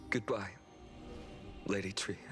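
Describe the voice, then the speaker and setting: Slow-spoken, solemn, gentle young lord. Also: male voice, fairly low pitch, steady tone. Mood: gentle, warm. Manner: formal, measured, earnest. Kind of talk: video game voice acting. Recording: music behind the voice.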